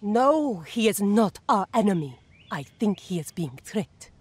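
A woman's voice: mid-range voice, very animated, a little slow, a little mellow, husky. An actress playing a resolute, loyal woman warrior leader in a video game, serious and determined. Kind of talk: dramatic video game voice acting.

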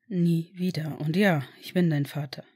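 A woman voicing a gentle, protective grown man, speaking softly and slowly in a hushed, soothing way. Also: low voice, fairly even intonation, breathy edge, no room sound, clean studio sound. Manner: soft, soothing, slow and hushed. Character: gentle protective adult male father figure. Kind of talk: audiobook character voice.

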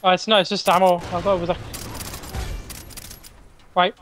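A man with a notably high voice banters as co-host of a gaming stream. His manner is dry and teasing. Faint noise and game gunfire sit behind his close mic. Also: level tone, normal speed, fairly bright tone.

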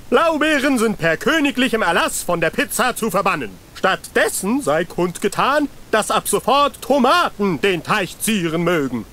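A man's voice: high-pitched, sing-song, measured pace, creaky, bright, forceful tone, heavily acted. A man voicing a pompous self-styled king in a cartoon adventure game, declaiming in grandiose mock-archaic speech. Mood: commanding. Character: pompous self-styled king leading a fantasy role-play.

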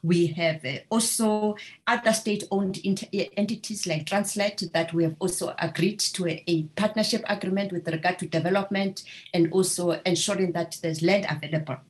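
A middle-aged woman with a South African accent, giving measured panel remarks on a video call, often pausing with fillers. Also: on the low side, fairly even intonation. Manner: measured, many filler pauses. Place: indoor room at home. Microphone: video call webcam.

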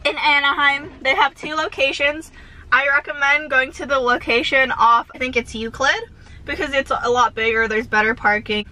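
Young woman, giggly and chatty, bright tone, car interior, dashboard camera. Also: high-pitched, fairly even intonation, moderate pace, low-quality recording. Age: young adult. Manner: bubbly, says "like" constantly, giggly. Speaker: vlogger. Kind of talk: casual chatty vlog with eating. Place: inside a parked car. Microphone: camera on dashboard facing her.

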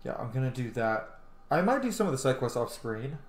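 A man's voice: mid-range voice, very animated, a little fast. A man in his thirties giving playful, sweary, casual commentary while playing a video game. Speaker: game streamer. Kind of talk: casual let's play commentary. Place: small indoor room. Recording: roomy echo, game music behind. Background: video game music and effects.

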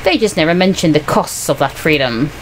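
A woman's voice: low voice, expressive, brisk, slight background noise, pitched below the performer's own voice, poor audio. A woman voicing a bitter working-class man in a visual novel, grumbling with cynical emphasis. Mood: bitter, weary.

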